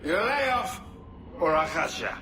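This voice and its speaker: An actor voicing a jealous, crude, swaggering pirate with a loud, taunting delivery in a video game. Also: male voice, on the high side, big pitch swings, a little slow, exaggerated voice. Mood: jealous threat. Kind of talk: dramatic video game acting. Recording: echoey room with background noise.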